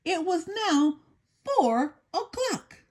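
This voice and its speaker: A woman reading a children's story aloud in an animated, expressive storytelling manner. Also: extremely high pitch, extremely slow. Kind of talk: animated scripted read-aloud narration for children.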